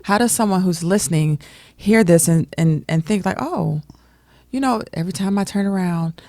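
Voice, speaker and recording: A woman's voice: fairly low pitch, moderate pace, warm tone, no echo, studio quality. A woman chatting casually on a radio show in a colloquial, agreeing way.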